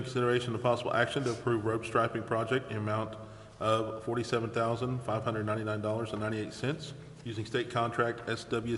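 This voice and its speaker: A man with a medium-pitched voice chairs a council meeting in formal, procedural language. His words ring in a big echoey hall over faint noise. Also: a bit bright. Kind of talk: formal procedural reading of agenda items. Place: large council chamber.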